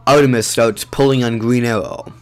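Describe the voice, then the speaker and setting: A man with a medium-pitched voice serves as narrator. He reads scripted audio drama action and scene descriptions. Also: fairly even intonation, moderate pace, faint music behind.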